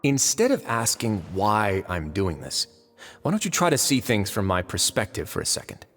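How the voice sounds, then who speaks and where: A calculating lord of a fortress in a video game, courteous and sardonic with menace underneath. His low voice moves through very lively intonation, set in long reverb with music. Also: normal speed, exaggerated voice. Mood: stern. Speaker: voice actor in a dubbed video game. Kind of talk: theatrical game cutscene dialogue.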